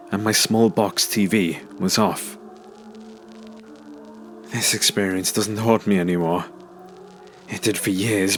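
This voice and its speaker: A man with a low voice narrates a submitted ghost story. He reads the first-person account as a script, with faint music underneath. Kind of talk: scripted narration of scary stories.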